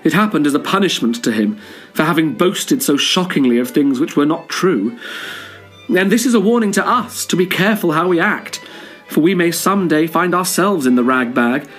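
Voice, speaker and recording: Measured male storybook narrator. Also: on the high side, husky. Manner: measured, moralizing. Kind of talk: storybook reading.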